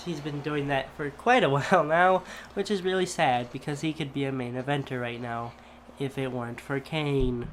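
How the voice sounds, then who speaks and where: A man's voice: mid-range voice, steady tone, moderate pace, a little hiss or hum. A man co-hosting a casual wrestling review, laid-back and trailing off, a bit stuffy from a cold. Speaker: co-host. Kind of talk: casual chat.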